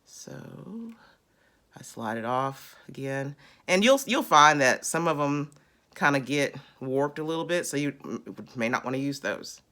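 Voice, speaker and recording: A woman in her thirties with a raspy voice, chatting casually while she demonstrates a beauty routine close to a phone camera indoors. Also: very deep voice, animated, measured pace, fairly bright tone. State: raspy after illness. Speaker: beauty vlogger. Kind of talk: casual how-to demo. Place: small indoor room.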